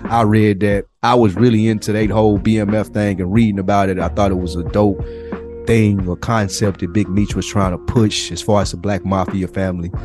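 A man's voice: on the low side, little pitch movement, a little fast, music in the background. An American man co-hosting a podcast in casual, slangy, profanity-laced conversation. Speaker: podcast co-host. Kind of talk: casual chat.

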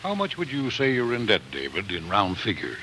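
Gruff, kindly old father, low voice. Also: wildly swooping pitch, a little fast. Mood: approving. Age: older. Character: older pioneer farmer father, gruff but kindly. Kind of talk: 1940s radio acting. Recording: old 1940s radio recording, faint noise.

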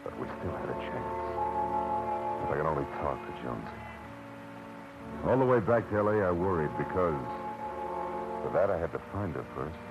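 A man's voice: a bass voice, animated, moderate pace, pauses often, loud music behind the voice. An actor playing a hard-boiled, world-weary American private eye in a 1940s radio drama, with a clipped tough-guy delivery. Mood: grim. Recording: muffled, noisy old radio recording.

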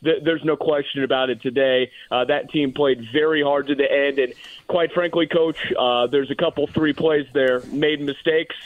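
A man calling in as a sports broadcaster, giving conversational game analysis in a halting way, repeating words. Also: medium pitch, steady tone, no echo. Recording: thin phone-line call.

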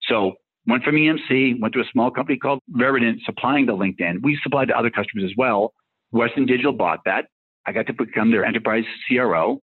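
A man in his fifties with a faint Massachusetts accent talks quickly through his career as a tech executive, rambling from one anecdote to the next. His voice is husky, and it comes through a narrow, phone-like line. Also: medium pitch. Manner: rambling, acts out dialogue in anecdotes. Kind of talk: casual career storytelling.

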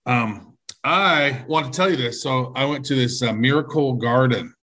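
A man co-hosting a podcast, cracking deadpan, goofy jokes. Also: medium pitch, normal speed. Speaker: podcast co-host. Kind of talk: casual chat.

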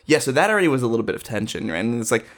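A man's voice: medium pitch, sing-song, racing. Young man telling a rambling personal story. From Dayton, Ohio, US. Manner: rambling, lots of filler "like", self-deprecating. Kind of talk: casual conversational storytelling.

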